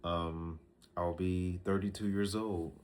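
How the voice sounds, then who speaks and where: Deep-voiced man in his thirties, slow and reflective. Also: steady tone, darkish tone. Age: 30s. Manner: slow, repetitive, reflective, with many fillers and restarts. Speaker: vlogger. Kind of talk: casual talking-to-camera advice.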